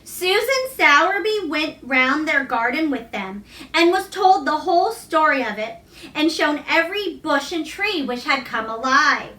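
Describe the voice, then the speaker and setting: A woman reading a children's novel aloud as expressive storybook narration. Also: sits high, a little slow. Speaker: storybook reader. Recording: roomy echo, distant microphone.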